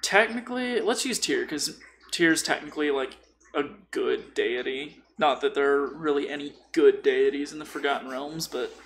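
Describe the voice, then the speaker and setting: A man's voice: a little high, normal speed, fairly bright tone, slight background noise. A man chatting casually on a podcast about tabletop role-playing, informal and rambling, swearing freely. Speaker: podcast co-speaker.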